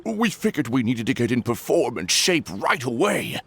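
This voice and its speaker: A man playing an excitable, fretful frog band conductor in a video game, theatrical and emphatic. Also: medium pitch, moderate pace, creaky, a little hiss or hum. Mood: eager, proud. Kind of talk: video game cutscene acting. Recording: music bed behind voice.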